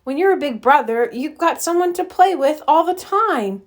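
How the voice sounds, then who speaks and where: A woman's voice: sits high, animated, moderate pace, voice pushed up. A woman voicing a curious, good-natured young boy in a children's animal storybook. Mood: eager.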